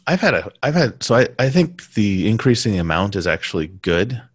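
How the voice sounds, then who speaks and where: A man talking casually in a conversation, pausing and restarting his sentences often. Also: lowish voice, big pitch swings, slightly rough, no room sound, clean studio sound. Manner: halting, many restarts and fillers.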